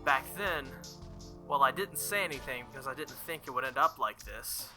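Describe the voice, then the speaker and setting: A man's voice: mid-range voice, animated, moderate pace, pushed and bright, faint music behind. A man reading the lines of a confident young woman mage from a visual novel, explaining matter-of-factly.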